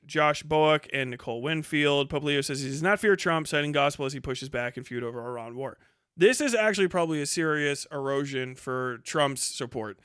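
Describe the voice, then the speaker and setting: A man's voice: a little high, fairly bright tone, clear, resonant tone, dry recording, clean studio sound. A man doing casual, off-the-cuff live commentary on the news in a blunt, sardonic, rambling and argumentative way, with some swearing.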